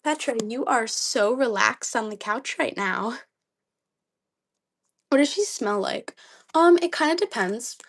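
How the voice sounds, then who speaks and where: A young woman with a slightly dry voice, chatting casually on a livestream, reading comments aloud with lots of ums and likes. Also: mid-range voice. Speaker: livestream host.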